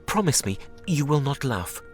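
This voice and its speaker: An actor playing a scholarly, sceptical translator of ancient books in a video game, with bookish, theatrical delivery. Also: male voice, medium pitch, very animated, moderate pace, soft background music, natural voice. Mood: sceptical storytelling.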